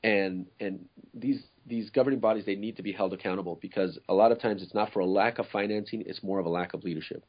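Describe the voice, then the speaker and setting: A man from Ecuador talks through a podcast topic in an earnest, searching way, full of fillers and restarts. His voice sits low and a little husky. The recording is dull and lo-fi. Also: fast, hardly any pauses. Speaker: co-host. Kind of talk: casual podcast discussion.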